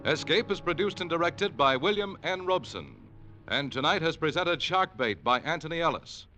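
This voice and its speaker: A polished 1940s radio announcer man reading an ad and the credits. His voice is fairly high, bright and lively, swinging up and down in the old declaiming style. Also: moderate pace, soft background music.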